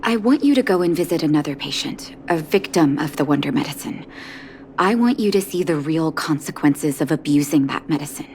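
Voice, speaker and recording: Calm principled doctor lady from a game, slightly low voice, tired and serious. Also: slight background noise. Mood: weary, earnest. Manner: measured, serious, sighing pauses. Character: principled, weary adult woman doctor, calm and caring. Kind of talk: video game voice acting.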